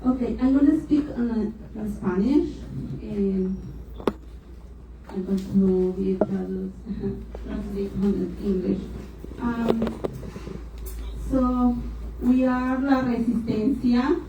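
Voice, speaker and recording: A woman speaking at a panel event, talking in short bursts with hesitations and pausing for her interpreter. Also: medium pitch, steady tone, lo-fi sound. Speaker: panel speaker for an immigrant rights group. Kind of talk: public talk in Spanish, paused for interpretation. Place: university hall event. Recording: echoey hall, distant mic, faint noise.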